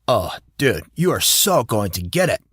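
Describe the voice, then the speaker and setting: A man's voice: medium pitch, sing-song, measured pace, fairly bright tone, slightly rough, no echo, studio quality, exaggerated voice. A man voicing a blunt, slangy teenage boy with a mocking, teasing manner in an audiobook. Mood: mock threatening.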